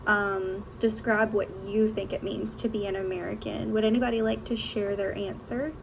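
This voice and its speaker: A woman's voice: medium pitch, little pitch movement, moderate pace, creaky, noisy background. A woman teacher giving a practice lesson, upbeat and encouraging, with frequent ums as she explains a group activity. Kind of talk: classroom instruction, practice lesson.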